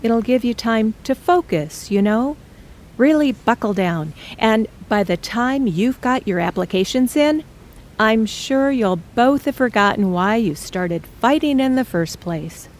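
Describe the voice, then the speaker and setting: A woman voicing a reassuring middle-aged mother in an audio drama, speaking gently and measured. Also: a little high, lively intonation. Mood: soothing.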